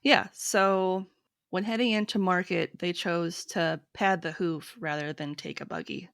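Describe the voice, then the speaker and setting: A woman host runs a friendly quiz in a slow, unhurried rhythm. Her voice is low and gravelly, with some vocal fry. The sound is dry and close, with no room around it. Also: fairly even intonation, fairly bright tone, no room sound. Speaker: podcast host. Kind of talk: casual quiz chat.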